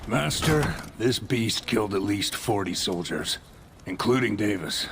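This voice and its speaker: An actor playing a grim medieval knight in a game cutscene. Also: male voice, fairly low pitch, expressive, a little slow, noisy background. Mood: reporting. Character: grim medieval knight soldier recounting a war campaign. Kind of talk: cinematic game cutscene acting and narration. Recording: loud music behind the voice. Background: loud music.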